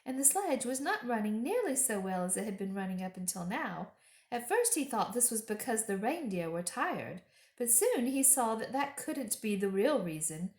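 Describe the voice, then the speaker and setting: A woman reading a children's story aloud expressively, with deliberate phrasing and dramatic emphasis. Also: a little high, sing-song, darkish tone, reverberant. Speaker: storytime reader.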